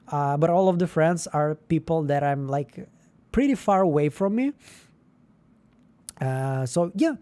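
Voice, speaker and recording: A man's voice: fairly high pitch, mellow. A chatty man, hosting a livestream. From Israel. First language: Russian. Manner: rambling, with frequent fillers and restarts. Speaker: livestream host. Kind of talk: casual livestream chat.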